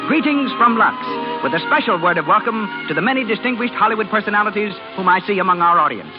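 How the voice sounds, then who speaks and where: A man's voice: sits high, animated, fast, loud music behind the voice. An American man announcing a radio show and its advertising in a formal, emphatic 1930s style. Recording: dull vintage radio recording.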